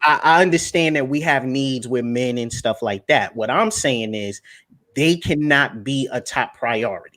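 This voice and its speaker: A man's voice: medium pitch, normal speed, fairly bright tone. A young man talking casually and emphatically on a livestream, repeating words as he makes his points. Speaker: guest speaker on finance.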